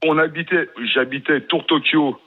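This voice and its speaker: A man's voice: fairly high pitch, fairly even intonation, normal speed, phone-quality sound. A man calling in to a radio talk show, grumbling bluntly and repeating himself. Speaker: caller. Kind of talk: phone-in chat. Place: home, calling in by phone.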